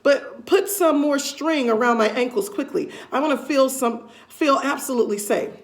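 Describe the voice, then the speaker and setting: A woman's voice: sits high, normal speed, pitched above the performer's own voice. Woman reading as a frantic, beastly old storybook wife, crying out. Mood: anxious, insistent. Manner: exclamatory, crying out.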